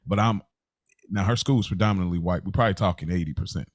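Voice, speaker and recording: A man's voice: low voice, fast talker, dry recording. A middle-aged American man talking casually and earnestly as a podcast co-host, often repeating words for emphasis. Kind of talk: casual conversational podcast chat.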